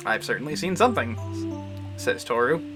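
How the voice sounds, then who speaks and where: A man's voice: mid-range voice, sing-song, moderate pace, music in the background. A man giving casual, rambling commentary as he hosts a visual novel livestream, often muttering to himself. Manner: mutters and hums filler syllables to himself.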